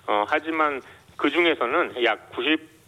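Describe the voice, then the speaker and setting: A man, a medical doctor, calmly explains medical facts in a radio interview, pausing often with fillers. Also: on the high side. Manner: measured, explanatory, many filler hesitations. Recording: dull lo-fi radio sound.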